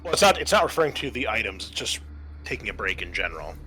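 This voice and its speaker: Deep, bright-voiced man as game master. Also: big pitch swings, fast, slightly rough, rough recording. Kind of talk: explaining rules and narrating a tabletop roleplaying game.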